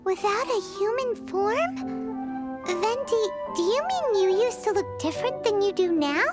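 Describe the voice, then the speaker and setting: A woman's voice: very high-pitched, sing-song, measured pace, exaggerated voice. A woman voicing a tiny, chirpy, curious female fairy companion in a game, who refers to herself in the third person. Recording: game audio with loud music.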